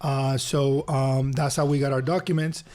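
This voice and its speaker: A man's voice: mid-range voice, little pitch movement, normal speed, a little mellow. Earnest man in his thirties. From Honduras. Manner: earnest, repeats his phrases for emphasis. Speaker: podcast guest. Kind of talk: casual storytelling interview.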